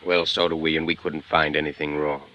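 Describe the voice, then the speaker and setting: An actor playing a big, smug small-town boss in a 1950s American radio drama, smoothly dismissive and quietly threatening. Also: male voice, rumbling low, level tone, fairly quick, dull audio. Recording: vintage radio recording with faint noise.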